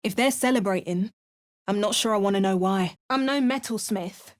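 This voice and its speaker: Woman voicing a female game hero, put-on Cockney accent, dry muttered asides, mid-pitched. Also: normal speed, close to an everyday voice. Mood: wry. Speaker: player character. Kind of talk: video game voice lines.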